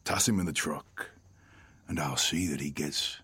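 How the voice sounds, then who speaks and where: An actor playing a corrupt, arrogant small-town lawman in an audio drama, unhurried and taunting. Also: very deep voice, sharp tone, exaggerated voice. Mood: smug, taunting. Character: menacing, smug corrupt small-town lawman with nanotech enhancements.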